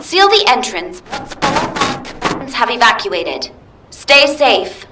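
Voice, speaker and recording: An actress playing a brisk, commanding operations guide in a video game. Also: female voice, high voice, very animated, noisy background. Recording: echoey, distant, over game music.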